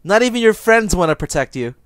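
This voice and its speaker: A man's voice: high voice, fast talker, dry recording. Sarcastic young gamer man taunting. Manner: mocking, sarcastic, deadpan jokes, bursts of laughter. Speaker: gamer trolling an online opponent. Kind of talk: taunting party chat over gameplay.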